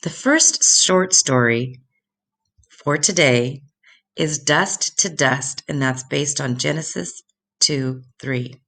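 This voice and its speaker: Low, creaky-voiced woman reading to children. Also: a bit bright. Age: older. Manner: deliberate, instructive, addressing children directly. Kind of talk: read-aloud children's Bible stories with asides.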